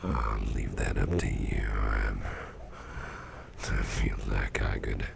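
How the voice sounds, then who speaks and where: A man performs an ailing, sleepy boss in an intimate ASMR roleplay. His voice is extremely deep and full of heavy vocal fry, drifting along in a breathy murmur. He rambles gently and hesitantly at a slow pace, repeating himself as he confides. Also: fairly even intonation, noisy background, exaggerated voice. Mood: drowsy, affectionate. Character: sickly, drowsy, sweet-tempered boss confiding in his employee.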